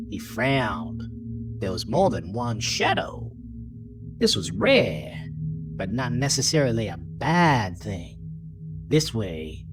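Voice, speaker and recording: Slow, dramatic male horror narrator. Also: mid-range voice. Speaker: narrator of an audio horror story.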